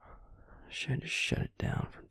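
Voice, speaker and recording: A man playing a tender, regretful male vampire in an intimate audio roleplay, confiding haltingly in a soft, breathy, gravelly whisper. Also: dry recording, studio quality, natural voice. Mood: rueful, candid.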